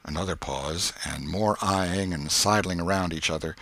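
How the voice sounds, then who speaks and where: Very deep-voiced male audiobook narrator. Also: normal speed, vocal fry, fairly bright tone, no room sound. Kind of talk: scripted literary narration.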